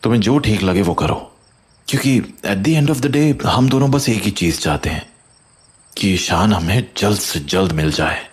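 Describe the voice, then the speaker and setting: A man playing a concerned, supportive husband in a horror audio drama, deliberate, with an Indian accent and English phrases mixed in. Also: fairly low pitch, slightly rough. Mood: reassuring, concerned. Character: concerned supportive husband, skeptical but trusting.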